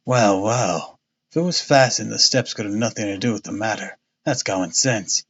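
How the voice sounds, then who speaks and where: A man voicing a stout, pompous police inspector with a husky, wheezing tone, blustering and repeating himself. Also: on the low side, moderate pace, highs cut off.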